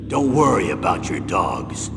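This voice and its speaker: Stern army colonel, heavy reverb. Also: male voice, low voice, very animated, creaky, background noise, exaggerated voice. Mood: firm, pressing. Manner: authoritative, measured, formal. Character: stern, persuasive older army colonel, seasoned veteran. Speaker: military commander briefing an agent. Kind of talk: scripted video game voice acting, mission briefing. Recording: long reverb, music behind.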